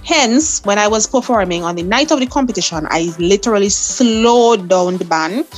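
A woman's voice: medium pitch, normal speed, soft background music. A young adult woman with a Vincentian accent, a soca artist recounting her performances in an interview, laughing often. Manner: lively storyteller, laughs often. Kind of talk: interview storytelling.

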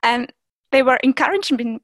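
This is a woman whose first language is Russian, answering interview questions in English. She sits a little higher in pitch and keeps stopping on fillers and restarting, over a close, dry recording without room sound. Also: expressive, fairly quick, fairly bright tone, dry recording. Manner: hesitant, many fillers and restarts. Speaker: German teacher and Instagram creator. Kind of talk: interview guest, casual conversation.

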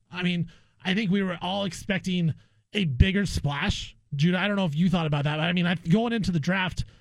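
A man's voice: high-pitched, no echo. A man hosting a sports radio show, giving his opinions in casual conversational talk.

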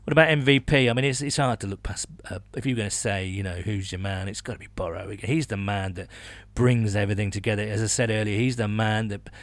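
A man co-hosting a sports podcast in casual chat, halting and often repeating his words as he goes. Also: lowish voice, dry recording. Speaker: podcast co-host.

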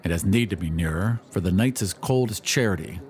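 A man's voice: deep voice, fairly quick, a little mellow, close to an everyday voice. A man voicing a cold, lonely tramp who mutters and grumbles in an audio drama. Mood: grumbling.

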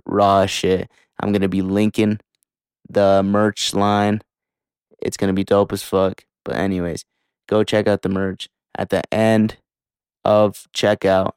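Smooth low voiced guy hosting a podcast, super casual and slangy, dry close sound. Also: darkish tone, dry recording. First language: English. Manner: informal, hyped slangy talk with swearing. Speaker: podcast host.

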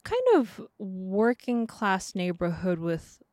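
A woman's voice: medium pitch, slow, a little mellow, no room sound, studio quality. A woman in her thirties from France, a native speaker of Cantonese and French, casually recalling personal memories as an interview guest. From France, outside Paris. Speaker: artist. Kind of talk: casual personal recollection in conversation.

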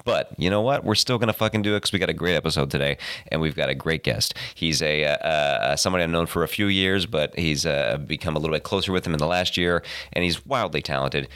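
A man hosting a comedy podcast, congested and a little raspy from a cold, upbeat and playful as he chats. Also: extremely low pitch, quick pace, hardly any pauses, no echo, clean studio sound. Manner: upbeat, playful, lively intonation. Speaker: podcast host. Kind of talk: casual podcast chat.

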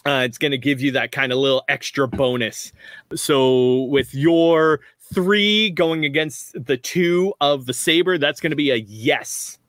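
A man's voice: fairly high pitch, unhurried, a bit bright, no echo. A man narrating as game master in a tabletop roleplaying game, rambling with frequent restarts. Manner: rambling, many restarts, laughs often.

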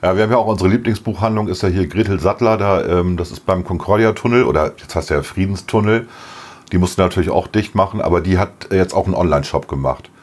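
Deep voiced guy, kinda hoarse, just chatting on a podcast, laughs a lot. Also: quick pace, darkish tone. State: slightly hoarse. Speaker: podcast co-host. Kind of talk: casual chat.

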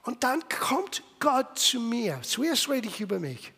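A man in his sixties with an American accent, preaching a sermon in non-native German with English slips, swinging from loud bursts to hushed lines. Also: very high voice, big pitch swings, slow, creaky, slightly rough. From United States. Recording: long hall reverb.

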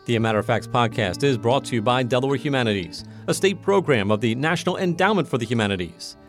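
A man hosts a podcast, speaking in a measured, formal way. Faint guitar music plays softly behind him. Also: mid-range voice, lively intonation. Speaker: podcast host. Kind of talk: podcast hosting and outro.

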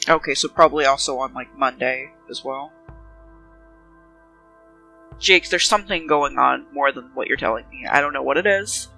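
No-nonsense detective played by a woman, bright forceful tone, firm, insistent, suspicious, tabletop roleplay with music behind. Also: extremely low pitch, moderate pace, creaky, long pauses, pitched below the performer's own voice, full character voice, poor audio. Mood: probing, suspicious.

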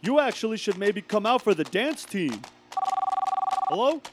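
A man's voice: sits high, very animated, normal speed, very noisy. A man playing a cocky teenage dance-team captain in a comedy sketch, brash and condescending. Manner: brash, condescending, bro-ish.

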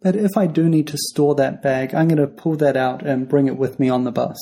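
Relaxed New Zealand man telling travel tips. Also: a little high, brisk. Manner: storytelling, animated when quoting others. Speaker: podcast co-host. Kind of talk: casual travel podcast chat.

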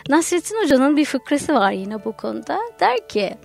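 A woman guest on a radio show, a folklore researcher explaining ideas in a lively way with anecdotes. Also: sits high, normal speed, vocal fry, faint music behind. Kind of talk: radio conversation. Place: radio studio.

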